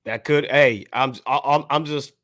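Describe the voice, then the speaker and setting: A man's voice: medium pitch, steady tone, moderate pace, fairly bright tone, dry recording, studio quality. A man on a podcast panel giving opinions in casual discussion, picking his words carefully with hesitant phrasing.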